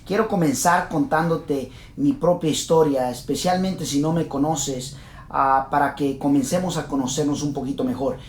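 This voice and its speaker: A man in his late thirties with a Mexican accent, earnestly telling his life story to course subscribers, with many fillers and restarts. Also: normal speed. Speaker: real estate investor and course host. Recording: roomy with faint rumble.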